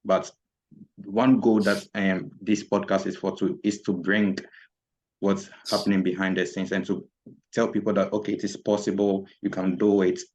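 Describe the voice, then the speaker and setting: A man's voice: deep voice, flat delivery, normal speed, a little mellow, rough recording. A man hosting a podcast interview, speaking hesitantly with many fillers and murmured affirmations. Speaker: podcast host.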